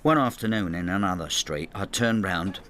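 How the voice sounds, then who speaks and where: An older man with a low voice, narrating his life in a radio play. He is inward and resentful, confiding his thoughts in a reflective, intimate manner. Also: moderate pace, fairly bright tone, slight background noise. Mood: troubled reflection. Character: brooding older Frenchman, resentful, inward, estranged husband. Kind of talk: radio drama, intimate first-person narration and dialogue.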